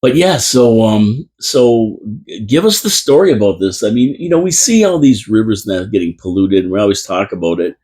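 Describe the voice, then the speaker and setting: A man with a fairly low, husky voice hosts a live talk radio show from a small studio. He rambles in a relaxed, chatty way, trailing off and restarting his sentences as he goes. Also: normal speed, few pauses. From Canada. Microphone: studio microphone.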